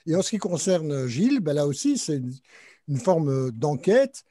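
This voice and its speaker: A man's voice: on the high side, sing-song, a little slow, mellow, dry recording. A man moderating a literary panel, introducing the guests and their books with many hesitations and fillers. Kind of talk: panel discussion hosting.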